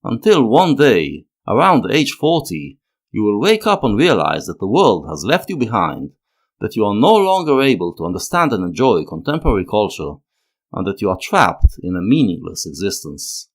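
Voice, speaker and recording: A man narrating a scripted analytical video essay in a measured, expressive way. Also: fairly low pitch.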